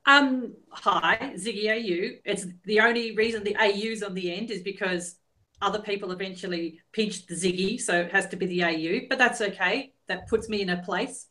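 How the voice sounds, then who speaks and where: Bright-voiced woman podcast guest. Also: medium pitch, normal speed, low-quality recording. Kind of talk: casual interview chat.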